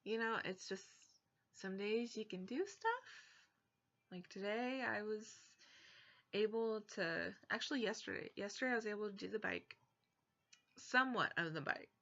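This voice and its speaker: A woman's voice: medium pitch, animated, moderate pace, a bit bright. A young woman, talking frankly and with exasperation in a personal vlog from her bedroom. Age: young adult. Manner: frank, exasperated, blunt asides. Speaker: vlogger. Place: bedroom, indoors. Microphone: webcam at desk. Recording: dull lo-fi webcam audio.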